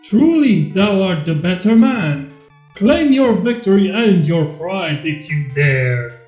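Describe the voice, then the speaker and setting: Man voicing a pompous, booming theatrical robot villain. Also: big pitch swings, takes it slowly, darkish tone, echoey room, full character voice. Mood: gracious in defeat. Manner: pompous, declamatory Shakespearean delivery. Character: theatrical male robot villain, grandiose, honourable, archaic duelist. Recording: dull lo-fi with background music.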